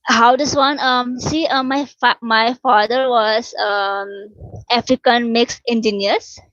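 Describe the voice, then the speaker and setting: A woman in her twenties from Suriname, whose first language is Dutch, chatting casually with viewers, unhurried and with many fillers and restarts. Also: a little high, fairly even intonation, a bit bright, dry recording, muffled recording. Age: 20s. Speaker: livestream host answering viewer questions. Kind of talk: casual chat.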